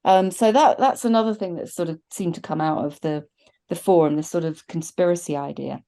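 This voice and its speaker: A woman's voice: lowish voice, a little fast, dark tone. A middle-aged woman in casual discussion as a podcast co-host, talking in a thoughtful, hedging, conversational way on a video call. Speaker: podcast co-host reviewing films. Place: indoors at a desk, video call with virtual backdrop.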